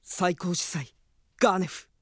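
A man playing a polite, studious young mage in a radio drama, explaining things gravely and carefully with respect. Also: sits high, unhurried, fairly bright tone, no echo, clean studio sound.

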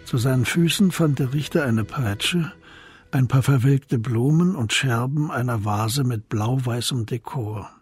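A man's voice: mid-range voice, measured pace, husky, no room sound. Male crime-drama narrator, faint music behind. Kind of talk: scripted narration of a German crime radio play.

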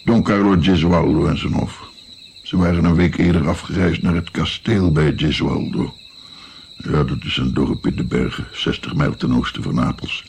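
A man's voice: rumbling low, moderate pace, creaky, a little mellow, slight background noise. An actor playing an older musician and physician, recalling his naive youth in a reflective, hesitant, wry way in a radio play. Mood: wry, reminiscing.

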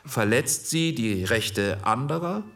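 A man's voice: medium pitch, expressive, slow, creaky, far from the mic, heavy background noise. An actor playing a formal, precise male jurist in a 1948 committee debate for a radio play. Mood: formal, explanatory.

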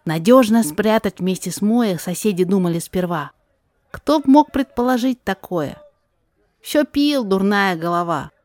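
A woman's voice: mid-range voice, animated, unhurried, a little mellow, no echo, natural voice. A woman voicing a frank, gossipy male fruit seller who talks in plaintive exclamations in a rhymed audio drama. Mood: gossipy, regretful.

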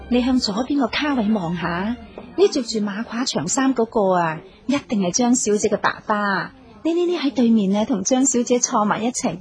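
A woman's voice: a little high, a little mellow, natural voice. A woman playing a chatty, meddling young secretary in a radio drama, coaxing and gossipy. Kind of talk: Cantonese radio drama acting.

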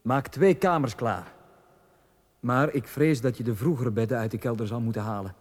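A man's voice: mid-range voice, normal speed, a little mellow, lightly acted. A Flemish man acting in a radio drama as a formal, restrained, dignified middle-aged lord and father. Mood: subdued, courteous. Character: dignified, protective lord, father of a grown son. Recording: long hall reverb.

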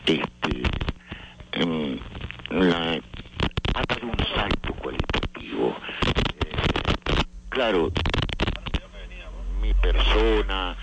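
An older man, a theatre organizer, answering interview questions thoughtfully with many pauses and fillers. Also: low-pitched, animated, heavy background noise. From not Venezuela.

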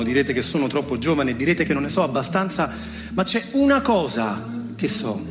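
A man's voice: mid-range voice, close to an everyday voice. A man reciting theatrically as a defiant young accuser, declaiming with insistent repetition. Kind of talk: theatrical spoken-word recitation. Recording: long hall reverb, music clearly underneath.

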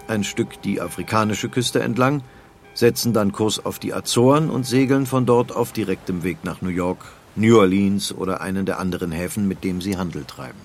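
A man narrating a scripted documentary in a measured, factual manner. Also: lowish voice, slight background noise. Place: dry studio.

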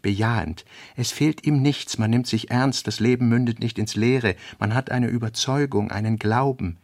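A man narrating a literary radio drama, reading fragmentary notes in measured, clipped, deliberate phrases. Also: medium pitch, gravelly, no echo.

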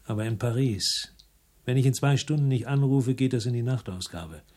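An actor playing a tough, defiant investigator in a radio crime drama, curt, commanding and unflinching. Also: male voice, mid-range voice, normal speed, darkish tone, close to an everyday voice. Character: tough, defiant male investigator confronting gangsters.

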